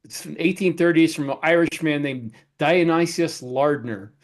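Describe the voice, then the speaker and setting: A man's voice: on the high side, normal speed. Man co-host in casual conversation. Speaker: podcast co-host. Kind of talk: casual podcast chat.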